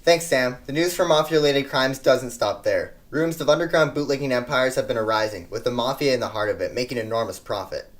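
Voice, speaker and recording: A man's voice: mid-range voice, brisk, few pauses, natural voice. A man playing an earnest 1920s news anchor, reading a scripted report in a formal announcer manner.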